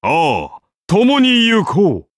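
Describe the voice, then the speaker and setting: A man's voice: high-pitched, huge pitch swings, extremely slow, dry recording, clean studio sound, full character voice. A man voicing a godlike founder-king and lancer of Rome in an anime game, grand, solemn and declamatory. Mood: solemn, majestic. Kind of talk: anime game battle voice lines.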